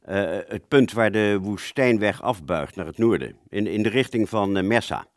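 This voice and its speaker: A man's voice: lowish voice, normal speed, no room sound, clean studio sound, natural voice. A man playing a wartime fighter pilot who looks back on a mission, telling it reflectively and hesitantly in a radio play. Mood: matter-of-fact. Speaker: storytelling narrator of a radio play. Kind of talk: reflective first-person radio drama narration.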